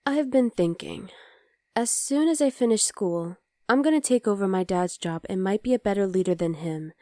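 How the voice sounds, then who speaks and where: Soft, close-up demon girlfriend, acted by a woman. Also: medium pitch, normal speed, dry recording, natural voice. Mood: determined. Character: affectionate young demon girlfriend, daughter of underworld leader. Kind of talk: ASMR roleplay, intimate one-sided dialogue to listener.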